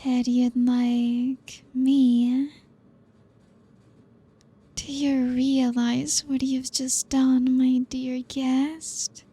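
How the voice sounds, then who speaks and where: A young woman voicing a possessive, ancient female vampire in an ASMR roleplay, breathy and whispery, teasing slowly with soft laughs. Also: sits high, flat delivery, heavily acted.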